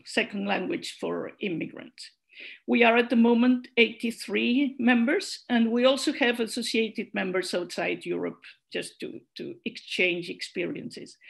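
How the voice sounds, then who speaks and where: A woman's voice: a little high, vocal fry. A woman whose first language is Catalan, giving a hesitant conference presentation over a video call with many fillers and restarts. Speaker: conference speaker, network secretary general.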